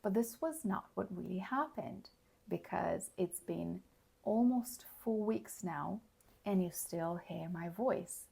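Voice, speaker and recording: Hoarse raspy english teacher lady, talks slow, explains every word. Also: lowish voice, animated, dark tone. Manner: explains words plainly, checks in with "okay?" and "all right?". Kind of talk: slow, clear teaching monologue.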